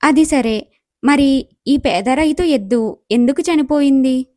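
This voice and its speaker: A woman acts out a young, impatient goddess from a storybook tale. She questions and complains in a very high voice, recorded close and dry. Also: measured pace, a little mellow, no echo. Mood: questioning. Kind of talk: acted moral story dialogue.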